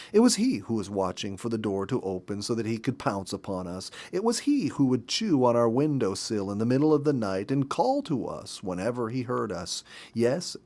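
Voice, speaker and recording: A man in his fifties reading a story aloud in an expressive, measured narrator's manner with dramatic emphasis. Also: fairly low pitch. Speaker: storybook reader. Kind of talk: expressive read-aloud narration of a nature memoir.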